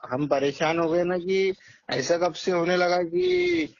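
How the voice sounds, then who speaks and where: A man's voice: high-pitched, wildly swooping pitch, slow, vocal fry. A man with a Marathwada Dakhni accent asking questions informally over a recorded phone call, in a hesitant, roundabout, probing way. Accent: Marathwada Dakhni Hindi-Urdu. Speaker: caller questioning the candidate.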